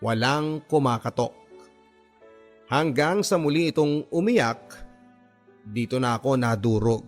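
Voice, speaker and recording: A male actor plays a gentle twenty-something working man recounting his life for a radio drama. His medium-pitched voice is earnest and sentimental, moving in measured phrases with dramatic pauses. Soft music sits underneath the narration. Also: lightly acted. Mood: tender, wistful. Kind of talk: first-person dramatic radio storytelling.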